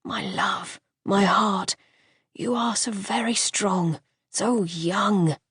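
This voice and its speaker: A woman voicing a gravely ill gentleman who speaks softly and tenderly in a lowered, hushed voice. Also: unhurried, no echo, studio quality.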